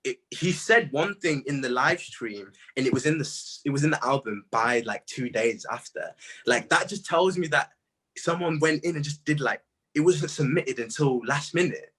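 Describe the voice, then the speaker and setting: A man in his twenties with a husky voice, a guest artist chatting casually and telling stories in animated slang. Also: medium pitch, normal speed. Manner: animated slangy storytelling, quoting own reactions. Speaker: interview guest, music artist. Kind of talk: casual chat.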